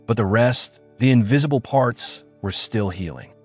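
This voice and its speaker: Male audiobook narrator, lively pitch, faint music underneath. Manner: measured storytelling. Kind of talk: scripted narration.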